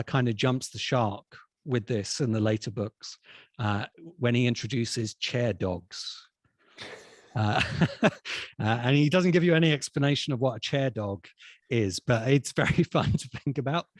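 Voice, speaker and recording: Guy explaining stuff off the cuff, thoughtful and halting, mid voice, really dry sound. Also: medium pitch, no room sound. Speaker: interviewed science fiction expert. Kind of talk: explanatory conversational discussion.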